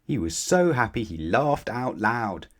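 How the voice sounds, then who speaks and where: A man's voice: mid-range voice, big pitch swings, unhurried. A man narrating a children's bedtime story expressively, putting questions to his young listeners. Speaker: storybook reader.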